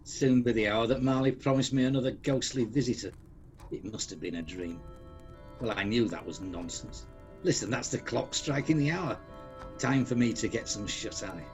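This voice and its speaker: A man's voice: mid-range voice, moderate pace, darkish tone, close to an everyday voice. A man acting a grumpy, sceptical old miser in a radio play, with a blustering, indignant, exclamatory delivery. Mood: irritable. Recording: dull lo-fi sound, music behind.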